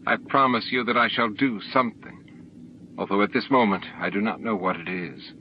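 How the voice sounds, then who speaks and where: A man's voice: fairly low pitch, moderate pace, close to an everyday voice. A man voicing an honorable, troubled male alien in an audiobook, earnest and formal in his speech. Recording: dull noisy audiobook recording.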